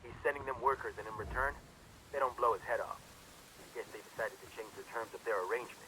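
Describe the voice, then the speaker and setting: An actor playing a sardonic, matter-of-fact field intelligence guide in a video game briefing. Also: male voice, medium pitch, quick pace, noisy background, lightly acted. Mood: grim, cautioning. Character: wry, hard-bitten male field intelligence guide.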